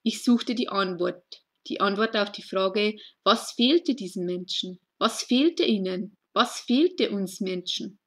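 A woman recites poetry at a medium pitch. She speaks in short, deliberate phrases and leaves space between them. Her delivery is earnest and reflective throughout. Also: a bit bright. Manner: slow, deliberate phrasing with pauses. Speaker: poet reading own poem. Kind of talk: spoken poetry reading.

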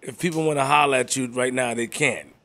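Bright, teasing New York podcast host. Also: male voice, mid-range voice, a little fast. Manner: teasing, playful, energetic. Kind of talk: casual podcast chat.

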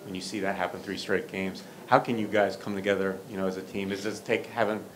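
Male reporter with a low voice and probing questions, faint press room hum. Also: flat delivery, fast talker, fairly bright tone, low-quality recording.

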